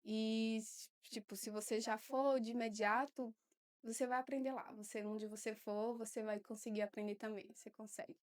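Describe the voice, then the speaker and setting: A young woman, a guest on a podcast, speaking warmly but hesitantly with many fillers. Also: on the high side, steady tone, normal speed. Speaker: guest volunteer. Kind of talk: casual interview.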